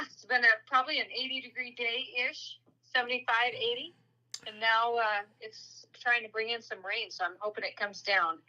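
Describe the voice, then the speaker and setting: A woman from Montana chatting on a livestream, easygoing and quick to laugh. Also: fairly high pitch, pushed and bright, poor audio. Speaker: company boss, guest on stream. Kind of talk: casual livestream chat.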